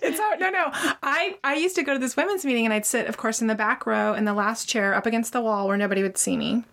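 A woman's voice: a little high, fast, vocal fry, fairly bright tone. A woman co-hosting a podcast, chatty and laughing easily in a casual interview. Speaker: podcast co-host.

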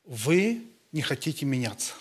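A man preaching in short phrases through an interpreter, speaking Russian with a non-native accent and pausing after each phrase for translation. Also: medium pitch, sing-song, husky. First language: not Russian. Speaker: guest preacher.

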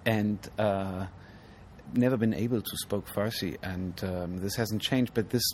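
A man's voice: fairly low pitch, fairly even intonation, measured pace, darkish tone. A man, an artist, explains his work in an interview, thoughtful and full of ums. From Germany. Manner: thoughtful, hesitant, many ums. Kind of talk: casual interview. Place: outdoors at an art fair. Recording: noisy outdoor recording.

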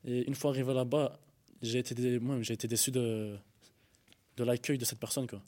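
A man's voice: medium pitch, flat delivery, fairly quick, a little mellow. A young man giving a hesitant personal account in a panel discussion, often stumbling and restarting his sentences. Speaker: panel guest.

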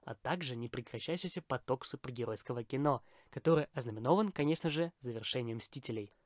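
A man's voice: mid-range voice, quick pace, no echo, clean studio sound. A man hosting a podcast, reading a scripted, opinionated film review in formal phrasing.

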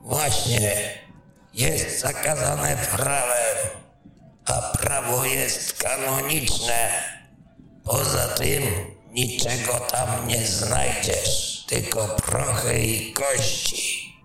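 Man acting a gravelly, pompous, slow-talking court mage. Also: mid-range voice, steady tone, fairly bright tone, heavily acted. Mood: rigid, haughty. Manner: haughty, disdainful, deliberate. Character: pompous, rigid male court mage, scornful of rivals. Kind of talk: fantasy audio drama acting. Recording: very echoey, music underneath.

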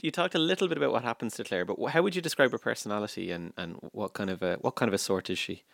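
A man's voice: lowish voice, racing, vocal fry, a bit bright, no echo, clean studio sound. A man conducting a casual interview as the host and producer of a drama.

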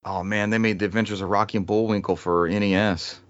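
Guy hosting a casual gaming podcast, lowish voice, dry kinda dull audio. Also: steady tone, fast, dry recording. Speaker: podcast host. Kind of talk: casual gaming podcast chat, reading aloud game trivia.

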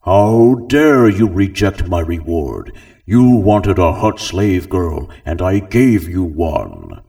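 A man voicing a huge, arrogant male slug crime lord in a deep, slow, booming voice. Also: sing-song, vocal fry, a little mellow, distant mic, exaggerated voice. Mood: furious.

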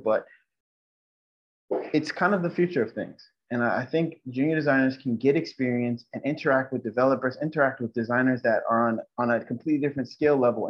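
A man, a designer and mentor, talking as a guest in a casual interview, giving earnest advice with many restarts and uses of "like". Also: medium pitch. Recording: dull lo-fi audio.

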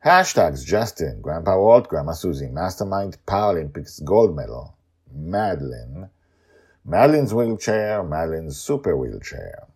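A man hosting a story podcast, narrating scripted fiction in a theatrical way with dramatic pauses and swoops. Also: low-pitched, big pitch swings, darkish tone, breathy edge.

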